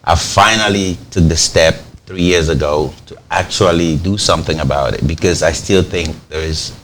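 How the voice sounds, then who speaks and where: Deep-voiced man explaining. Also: moderate pace, a bit bright, slight background noise. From Cameroon. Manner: explains at length, repeats words when starting phrases. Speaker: company founder, interview guest. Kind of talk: TV interview, conversational.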